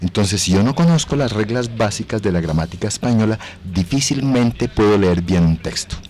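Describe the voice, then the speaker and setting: Lowish-voiced Colombian man, courteous and didactic. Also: animated, normal speed, darkish tone, a little hiss or hum. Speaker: actor, voice trainer, guest. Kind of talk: explanatory interview talk. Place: radio station booth.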